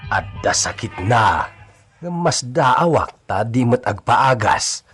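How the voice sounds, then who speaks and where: A man's voice: medium pitch, very animated, takes it slowly, over music. A man with an Ilocano accent playing a sober household servant in a radio drama. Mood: concerned.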